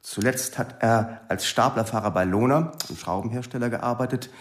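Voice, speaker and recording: An actor playing a businesslike job centre clerk in a radio drama, matter-of-fact and orderly. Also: male voice, mid-range voice, moderate pace, close to an everyday voice.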